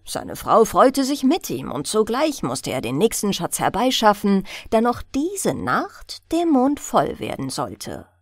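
Slow, highly expressive woman storyteller. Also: no room sound, studio quality. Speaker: audiobook narrator. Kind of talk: bedtime fairy tale reading.

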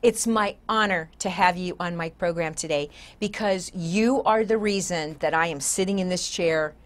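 A woman's voice: fairly low pitch, moderate pace. A woman in her sixties hosting a talk show in a conversational, effusive and emphatic way. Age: older, 60s. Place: TV studio set, seated.

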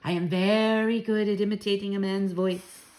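A woman's voice: mid-range voice, flat delivery, normal speed, a little mellow, lightly acted. A woman voicing a bold, proud old Norwegian grandmother in a storybook, speaking with assured, dramatic emphasis and affection. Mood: self-assured, proud.

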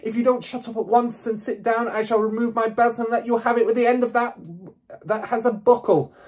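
A reader voicing a furious, tyrannical headmistress who roars insults slowly and emphatically. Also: medium pitch, a little mellow, husky. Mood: raging. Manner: roaring, spitting insults, slow and emphatic. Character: furious tyrannical headmistress, big bullying woman.

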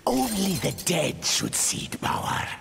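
A sadistic monster from a fighting game, extremely gravelly and wildly swooping. The voice hisses and rasps, drawn out, over noisy ambience. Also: male voice, sits high, huge pitch swings, takes it slowly, exaggerated voice. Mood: menacing. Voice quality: gravelly, hissing whispery rasp. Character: sadistic, cackling, rasping villainous monster. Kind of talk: video game fighter intro dialogue.

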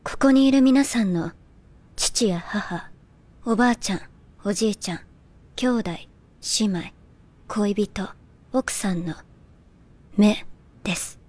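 A woman playing a calm young government agent in an anime, speaking in a polite, measured and unruffled way. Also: medium pitch. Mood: calm, menacing. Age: young adult. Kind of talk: anime drama acting. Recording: loud music under the voice.

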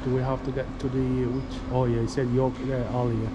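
A middle-aged man speaking casually and haltingly as a travel vlogger, thinking aloud as he goes. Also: mid-range voice, level tone, muffled recording. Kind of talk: casual vlog commentary. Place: railway station hall and inside a train carriage. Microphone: action camera at arm's length. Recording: loud ambient station and train noise.

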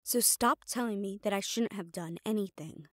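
A woman's voice: mid-range voice, moderate pace, fairly bright tone, no room sound, close to an everyday voice. Female actor, mid-range, as a mafia boss's loyal, protective assistant; audio roleplay, firm and reassuring, dry close mic. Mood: firm, exasperated. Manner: firm, reassuring, addressing the listener directly. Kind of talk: intimate audio roleplay acting.

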